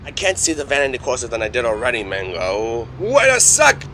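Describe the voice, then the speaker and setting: A man voicing an earnest, excitable, by-the-book alligator secret agent who gives dramatic warnings. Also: medium pitch, a little fast, slight background noise. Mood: urgent. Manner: dramatic, urgent warnings that swing into grave explanation. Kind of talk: animated comedy fandub acting.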